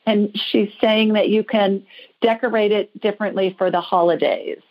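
An American woman hosts a call-in psychic show in a conversational style. Her voice sits at a medium pitch, and she speaks in a chatty, reassuring, informal manner. The audio is a little dull and lo-fi. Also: fairly even intonation, normal speed. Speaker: host, psychic call-in reader.

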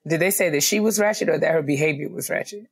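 A woman's voice: sits low, quick pace, vocal fry, slightly rough, no room sound, clean studio sound. A woman co-hosting a casual podcast chat, who speaks hesitantly and weighs her words. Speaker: podcast co-host.

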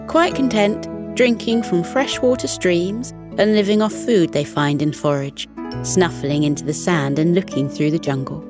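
A woman with a fairly low voice narrates a scripted children's bedtime story. She takes her time, speaking very slowly and soothingly. Music plays behind her.

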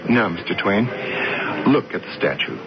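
A man's voice: on the low side, lively intonation, normal speed, creaky. Earnest, obsessed businessman, muffled radio sound. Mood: insistent. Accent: American. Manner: earnest, stammering, emphatic. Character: earnest, obsessed, wealthy American businessman, stubbornly insistent. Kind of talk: 1970s radio drama acting. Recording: muffled, noisy vintage radio broadcast.

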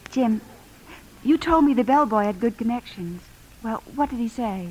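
A woman's voice: on the high side, animated, normal speed, a little mellow, breathy edge, low-quality recording. An actress playing a loving, worried young wife in a 1950s radio drama, earnest and probing with her husband. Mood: bright, eager. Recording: 1950s radio broadcast, faint noise.

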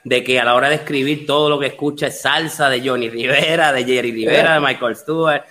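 Laid-back salsa host. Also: male voice, mid-range voice, fairly quick. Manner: relaxed, laughs often, many fillers. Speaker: podcast host. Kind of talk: casual chat.

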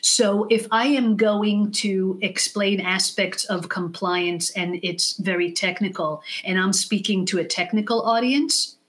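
A woman giving explanatory advice as an expert podcast guest, speaking deliberately and emphatically, often in lists. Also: medium pitch, fairly even intonation, fairly bright tone, poor audio. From born in the United States, raised in Israel from age six.

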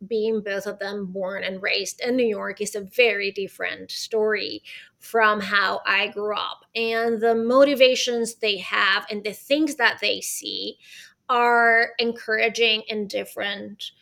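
A woman from Venezuela, a guest on a podcast, talking in a lively, chatty way with many fillers like "right?". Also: medium pitch, measured pace, gravelly. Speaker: guest, arts consultant and author. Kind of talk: podcast interview.

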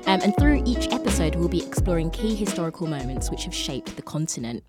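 A woman's voice: fairly low pitch, sing-song, fairly quick, loud music behind the voice. A woman co-hosting a podcast, upbeat and casual, laughing easily. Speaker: podcast co-host. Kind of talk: casual chat.

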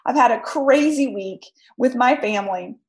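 High-voiced woman with a scratchy cold. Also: moderate pace, roomy sound. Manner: emphatic, talks straight to listeners. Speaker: podcast host. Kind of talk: solo podcast talk, advice.

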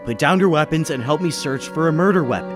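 An actor playing a level-headed businessman who narrates a murder mystery in old-time radio style, measured and reasoning. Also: fairly high pitch, lightly acted. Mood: calm, reasoning. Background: music behind the voice.

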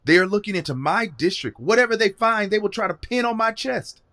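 A man's voice: high voice, brisk, bright, forceful tone. A man playing a proud, guarded politician under suspicion in an audio drama. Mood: defensive.

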